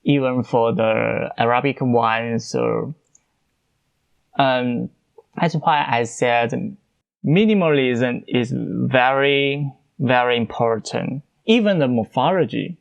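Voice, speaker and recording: Slow, halting man. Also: medium pitch, gravelly, muffled recording. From China. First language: Mandarin. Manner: halting, searching for words, repeats himself. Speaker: podcast host. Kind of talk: unscripted solo podcast talk.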